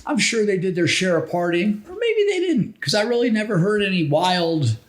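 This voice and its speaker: A middle-aged man telling stories casually on a podcast, rambling and halting, often repeating phrases as he goes. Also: sits high, big pitch swings. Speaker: podcast host.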